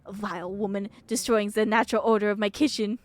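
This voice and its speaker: A woman's voice: medium pitch, flat delivery, quick pace, lightly acted. A woman voicing a pompous, disdainful house cat with a French accent in a video game. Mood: indignant. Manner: pompous, scornful.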